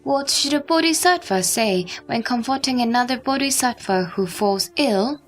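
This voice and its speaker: A woman playing an earnest bodhisattva who puts formal, measured questions to a sage in a scripture audio drama. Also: a little high, expressive, faint music behind. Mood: earnest, inquiring.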